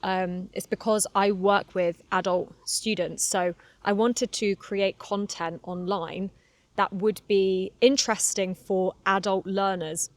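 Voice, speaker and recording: Casting: young woman English teacher, Southern English accent with a London tinge, unhurried and chatty, dry close sound. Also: mid-range voice, steady tone, no echo. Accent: Southern English, slightly London. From Cornwall, England. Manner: chatty and candid, laughs often, many fillers. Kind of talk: relaxed solo podcast monologue for learners.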